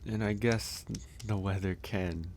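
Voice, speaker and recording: A gentle young male werewolf, acted by a man for an ASMR audio roleplay, speaks in a very low voice at a very slow pace. He is shy and reassuring, hesitating softly between thoughts. There is light background noise. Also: fairly even intonation, lightly acted. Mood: thoughtful, relaxed. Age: young adult. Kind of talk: ASMR audio roleplay, soft intimate acting.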